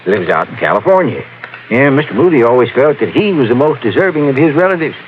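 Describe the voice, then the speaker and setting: An actor playing a chatty, amiable small-town storekeeper and lawyer in an old-time radio drama, rambling and easily sidetracked. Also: male voice, mid-range voice, very animated, fast, lightly acted. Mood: helpful, folksy. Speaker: supporting actor. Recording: phone-like old radio sound, faint noise.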